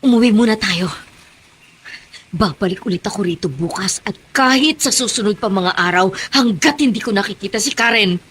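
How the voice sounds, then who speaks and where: A woman's voice: mid-range voice, normal speed, fairly bright tone, a little hiss or hum, lightly acted. A woman playing a fierce, desperate middle-aged mother in a Filipino radio drama. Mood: determined. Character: desperate, fierce mother hunting her missing daughter.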